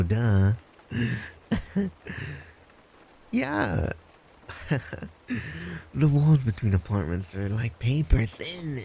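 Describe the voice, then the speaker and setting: A man playing a creepy, blunt, unhinged stalker neighbor in a roleplay audio drama, sardonic and halting, prone to giggles and mutters. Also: mid-range voice, darkish tone, slightly rough, heavily acted. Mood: giddy, manic, then taunting, sardonic. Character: creepy, blunt, unhinged yandere male next-door stalker.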